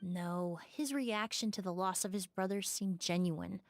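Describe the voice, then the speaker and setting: An actress playing a calm, analytical young forensic investigator in a video game, precise and matter-of-fact. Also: female voice, on the low side, a little slow. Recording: faintly noisy game livestream capture.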